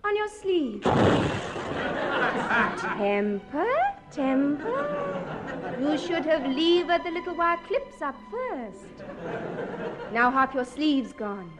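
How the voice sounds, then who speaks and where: A woman's voice: high voice, big pitch swings, very slow, pauses often, a loud crowd, exaggerated voice. An actress with an English accent playing a sweet, blunt, naive young woman in a 1950s radio comedy. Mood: bluntly innocent. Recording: old radio recording, audience and music behind.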